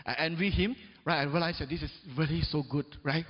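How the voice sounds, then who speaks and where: A man in his forties delivers an emphatic motivational speech, swinging between loud peaks and low drops. His voice sits a little high for a man. The sound is dull and washed in the long echo of a big hall. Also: steady tone, a little fast. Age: 40s. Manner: peppers talk with "right?" and "yes or no?" to the audience. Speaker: motivational speaker.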